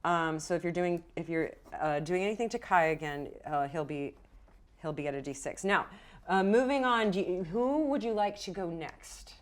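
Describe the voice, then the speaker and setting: A woman's voice: lowish voice, normal speed. A woman game master running a tabletop roleplaying game, talking casually and encouragingly with her players.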